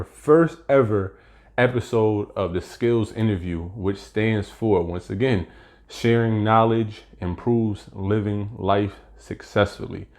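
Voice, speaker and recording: A man hosting a casual interview show, upbeat and quick with hype shout-outs. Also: on the low side. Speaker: host.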